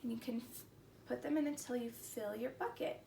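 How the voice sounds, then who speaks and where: High-voiced woman reading to preschoolers, echoey. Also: a little fast, vocal fry. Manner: animated, exclaiming, simple child-directed phrasing. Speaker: children's story time host. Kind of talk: expressive read-aloud to preschool children. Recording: roomy echo, distant mic, background noise.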